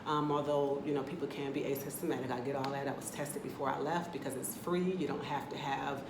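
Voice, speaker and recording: A woman's voice: a bass voice, fairly even intonation, fairly quick, roomy sound, background noise. A woman from the Atlanta area with an American accent, chatting candidly in a casual travel vlog from her rental apartment. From United States, Atlanta area. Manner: chatty, candid, talks in loose run-on asides. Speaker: travel vlogger.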